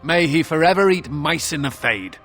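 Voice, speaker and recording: An actor playing a wry, flippant runaway mage in a video game, with a sardonic, conversational manner. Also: male voice, fairly high pitch, animated, normal speed, a bit bright, soft background music. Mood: wry, joking. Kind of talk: video game character acting.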